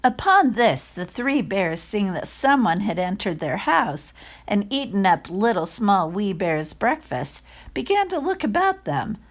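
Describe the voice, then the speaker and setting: A woman reading a children's story aloud in a careful, expressive narrating style. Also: medium pitch, a little slow. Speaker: storybook reader.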